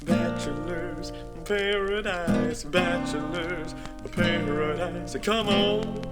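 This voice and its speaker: A woman's voice: lowish voice, slow pace, over loud music. A woman singing a theme song. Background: plucked string instrument.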